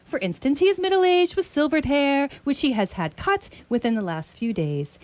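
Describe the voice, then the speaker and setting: Woman acting, brilliant detective, bossy, pedantic, very lively intonation, radio theatre. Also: high voice, normal speed, slight background noise. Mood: self-assured, lecturing. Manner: pompous, didactic.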